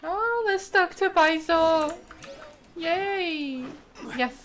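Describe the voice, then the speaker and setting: A woman's voice: very high-pitched, very slow, a bit bright, a little hiss or hum. A woman doing casual let's play commentary, reacting to a game in a gushing, fannish way. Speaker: gaming let's-player.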